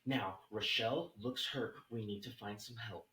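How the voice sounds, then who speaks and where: A man's voice: fairly low pitch, normal speed, bright, forceful tone. A man playing an anxious, bewildered man in a horror screenplay table read. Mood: urgent. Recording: roomy, echoing, distant from mic.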